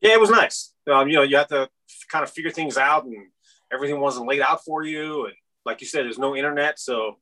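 A man's voice: on the high side, a little fast, sharp tone, rough recording. A man in his fifties from Iran, a podcast guest rambling casually with many fillers. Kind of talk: casual interview chat.

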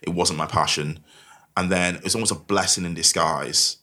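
A man's voice: low-pitched, fairly even intonation, moderate pace, pushed and bright. A man with a diluted Mancunian accent, telling his life story as an interview guest and often repeating and rephrasing his words. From Manchester, England. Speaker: podcast guest, investment professional. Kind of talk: candid conversational interview, telling life stories.

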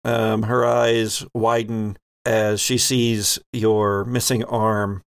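A man's voice: on the low side, little pitch movement, extremely slow, creaky, clear, resonant tone, no room sound, clean studio sound. Playful man running a tabletop game. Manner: playful, teasing. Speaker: game master of a tabletop RPG podcast. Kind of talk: improvised narration and rulings at a game table.

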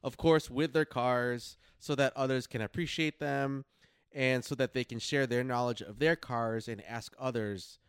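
A man co-hosting a podcast in casual, slangy talk, pausing and rephrasing as he explains his notes. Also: medium pitch, steady tone, smooth, no echo, studio quality. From Philippines or Filipino family. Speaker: podcast co-host. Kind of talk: casual chat, summarizing notes.

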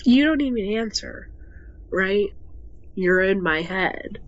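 A woman's voice: medium pitch, animated, takes it slowly. An actress playing a weary, unhappy young woman in an audio drama, hesitant and exasperated. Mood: downcast, defensive. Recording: dull, slightly noisy recording with ambience.

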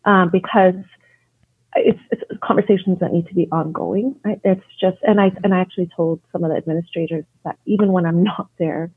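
A woman from Canada talks through her work as an educator in an earnest, thoughtful way, often restarting her sentences. Her voice is husky, and it comes over a thin, phone-like line. The sound is dry, with no room echo. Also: lowish voice, steady tone, brisk. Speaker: educator and guest. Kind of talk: conversational interview.

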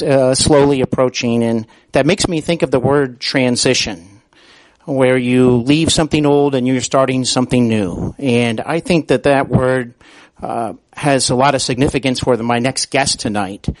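A man hosting an interview show, speaking earnestly in a halting way as he introduces and questions his guest. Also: mid-range voice, dry recording, poor audio. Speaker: podcast host.

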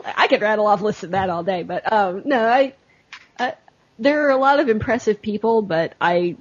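A woman chatting casually about games in a halting, self-deprecating way. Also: mid-range voice, dull audio. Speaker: podcast guest.

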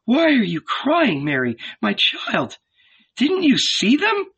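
A man's voice: very high-pitched, wildly swooping pitch, slow, creaky, a bit bright, husky, pitched above the performer's own voice, full character voice. Storybook old woman played by a man, raised voice, swooping emphasis, tender, awed and fervent. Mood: coaxing. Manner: earnest, coaxing, emphatic.

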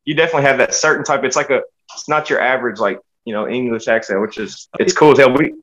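A man's voice: mid-range voice, fast, a bit bright. A man from the United States chatting casually as a musician guest, talking in a rambling, folksy, stop-start way over dull, lo-fi audio. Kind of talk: casual chat.

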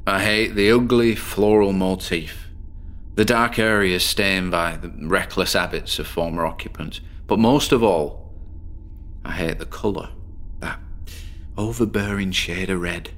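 A man's voice: sits low, fairly even intonation, measured pace, a bit bright. An actor playing a troubled, sleepless British man who tells his morbid story as a weary, hesitant monologue in a horror audio drama. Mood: bitter loathing. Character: troubled, insomniac bedsit loner, morbid and self-deprecating patient.

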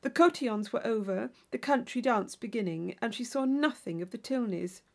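A woman reading an audiobook of classic fiction as an expressive, formal storyteller. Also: on the high side, normal speed, vocal fry. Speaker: audiobook reader. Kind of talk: scripted literary narration.

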